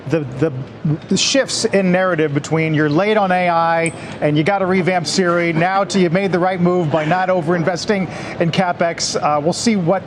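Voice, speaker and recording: A man's voice: high voice, fairly even intonation, normal speed, background noise. An American man anchoring a live business news broadcast and talking with a reporter.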